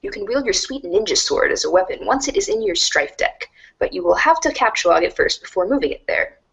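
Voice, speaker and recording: Woman, mid-range voice, highly animated intonation, storybook narration, reading aloud. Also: a little fast, vocal fry, poor audio. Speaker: narrator in a webcomic reader's theater.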